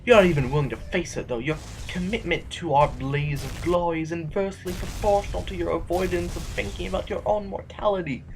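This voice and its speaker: A man's voice: sits high, brisk, few pauses, darkish tone, faint music behind, low-quality recording. A man acting as a reluctant, argumentative intellectual rebel in an audio drama, hesitant and wordy, anxious to survive. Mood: pleading, argumentative.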